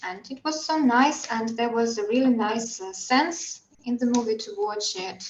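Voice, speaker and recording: A woman whose first language is Russian, chatting in English in a reflective way and pausing to search for words. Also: a little high, expressive, a little hiss or hum. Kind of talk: casual group chat in English. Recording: roomy, slightly dull online call.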